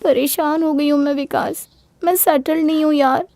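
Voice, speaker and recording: Actor doing a very high, creaky, whining college girl. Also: fairly even intonation, normal speed, darkish tone, no echo. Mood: troubled, weary. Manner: whining, complaining. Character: distressed, self-pitying young female college student.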